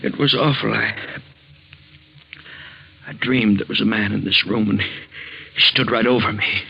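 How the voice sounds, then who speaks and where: An actor playing a fearful husband shaken by a nightmare, in melodramatic 1940s radio style. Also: male voice, lowish voice, fairly even intonation, measured pace, gravelly, breathy edge, exaggerated voice. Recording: dull, muffled 1940s radio recording.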